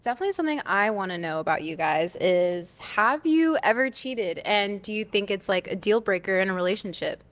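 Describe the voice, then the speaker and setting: A woman's voice: mid-range voice, lively intonation, a little fast, hardly any pauses, a bit bright. A woman on a dating show, chatty and full of fillers.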